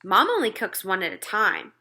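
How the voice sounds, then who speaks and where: A woman's voice: medium pitch, huge pitch swings, moderate pace, bright, forceful tone, breathy edge, exaggerated voice. A woman voicing a polite, doubtful fourth-grade boy in a children's storybook read-aloud. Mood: politely skeptical.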